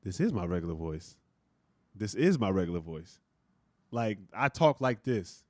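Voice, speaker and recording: A man with a deep voice chatting casually as a podcast co-host, animated and slangy, often laughing. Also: moderate pace, dry recording. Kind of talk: casual chat.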